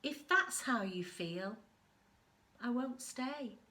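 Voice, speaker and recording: A woman's voice: a little high, animated, slow pace, sharp tone, exaggerated voice. A woman reading a children's storybook as an indignant, huffy sea anemone, affronted and sorry for itself. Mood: offended, huffy. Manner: affronted, self-pitying. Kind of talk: children's storybook read-aloud.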